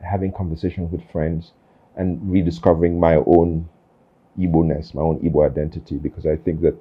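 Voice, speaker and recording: A man hosting an interview, speaking thoughtfully and haltingly, with many fillers and restarts. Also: sits low, little pitch movement, breathy edge, dull audio. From Nigeria. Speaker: podcast host. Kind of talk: reflective conversational interview.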